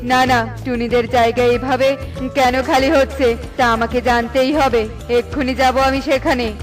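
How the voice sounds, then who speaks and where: A woman puts on a cartoon eagle king who is kindly toward his subjects and quick to indignation. The delivery is commanding and full of exclamation, with music playing behind. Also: fairly high pitch, flat delivery, voice pushed down, lightly acted. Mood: alarmed, stern. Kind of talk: children's cartoon voice acting.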